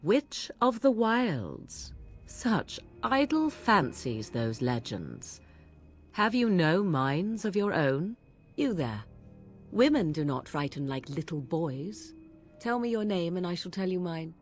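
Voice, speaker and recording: A woman's voice: lowish voice, big pitch swings, slow, soft background music. An actress voicing a haughty young witch from the wilds, who speaks in a mocking, formal, old-fashioned way. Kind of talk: game voice acting.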